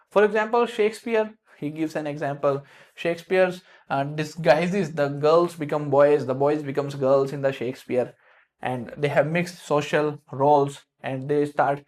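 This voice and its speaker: A man's voice: on the high side, darkish tone. A man with an Indian English accent, tinged by South India, explaining literary theory in a loose lecture style with frequent fillers and restarts. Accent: Indian English with South Indian influence. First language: Hindi. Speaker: literature teacher.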